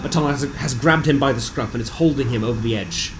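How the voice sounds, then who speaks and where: A man reading a scripted fantasy game recap as a storyteller, with deliberate, theatrical phrasing. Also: mid-range voice, noisy background. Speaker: narrator of a tabletop game session recap. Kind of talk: scripted dramatic storytelling narration. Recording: music bed behind the voice. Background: background music.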